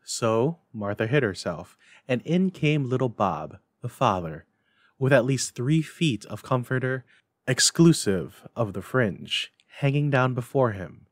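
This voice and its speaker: A man's voice: medium pitch, very animated, a little slow, no echo, clean studio sound. A man reading a story aloud in a dramatic storybook narration style.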